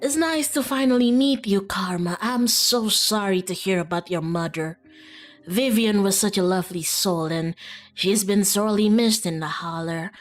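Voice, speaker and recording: A gracious old village wise woman, as a female streamer plays her, gentle and folksy in a visual novel. She speaks at a middling pitch over background music. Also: close to an everyday voice. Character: kindly old small-town witchy woman, hospitable neighbour.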